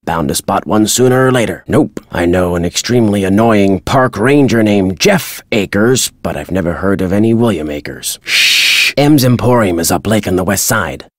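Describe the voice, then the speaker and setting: Gruff old american bird watcher, game character, folksy, husky, super dry close recording. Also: male voice, on the low side, lively intonation, normal speed, no echo. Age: older. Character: crotchety retired male birdwatcher, folksy and gruff. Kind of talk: video game character dialogue.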